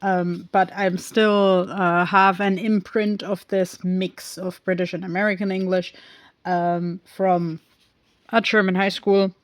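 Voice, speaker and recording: A woman recapping a film in a casual, rambling way, with many pauses and fillers. She speaks English as a second language and often goes off on tangents. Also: fairly low pitch, flat delivery, no echo. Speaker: film reviewer.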